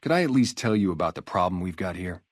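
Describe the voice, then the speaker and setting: Game role: ex-gang sniper on guard duty, male, low register, lively inflection, casual street manner, guarded but loyal. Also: fast talker, no room sound, studio quality. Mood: earnest, friendly. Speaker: security guard and former soldier. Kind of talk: video game character dialogue, naturalistic.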